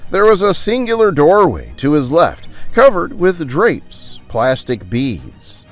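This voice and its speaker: Animated male storyteller over music. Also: mid-range voice. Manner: theatrical, deliberate, suspenseful phrasing. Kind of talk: dramatic scripted storybook narration.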